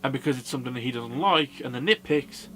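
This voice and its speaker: A man's voice: medium pitch, quick pace, a bit bright, rough recording. A man giving a casual movie review as a video host, in a blunt, sweary, informal manner. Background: background music.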